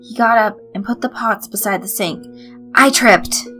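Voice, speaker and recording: A woman reading a scripted story as narrator, in an expressive storytelling style. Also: mid-range voice, fairly quick. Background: light background music.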